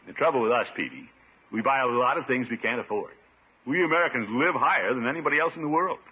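An actor playing a pompous, blustering middle-aged uncle who chuckles and preaches in a 1950s radio sitcom. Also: male voice, medium pitch, expressive, quick pace, husky. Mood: preachy. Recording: muffled 1950s radio broadcast.

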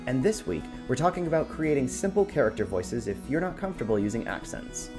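A man's voice: medium pitch, big pitch swings, fairly quick, music in the background. A man co-hosting a podcast in a casual, conversational discussion style. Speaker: podcast co-host.

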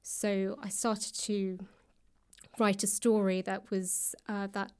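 A woman answering as a guest in a podcast interview, speaking conversationally in a thoughtful, hesitant way with frequent pauses. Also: mid-range voice, level tone, smooth. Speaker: guest author and actor. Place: library room.

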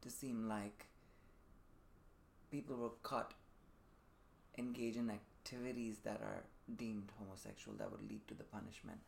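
Guy from dubai in his 30s, gravelly low voice, reflective interview storytelling, slight noise behind. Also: fairly even intonation, measured pace, darkish tone. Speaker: podcast guest, performing artist. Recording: faint room noise behind the voice.

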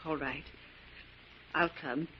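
A woman's voice: low-pitched, steady tone, takes it slowly. An actress playing an indignant, frightened wealthy woman in a 1940s radio melodrama, with dramatic, emphatic delivery. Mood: tense defiance. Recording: muffled, noisy 1940s radio recording.

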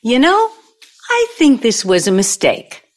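Woman acting a slow, taunting vengeful ex-girlfriend. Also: fairly high pitch, huge pitch swings. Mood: dramatic storytelling. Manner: deliberate, taunting, storytelling delivery. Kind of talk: audio play acting.

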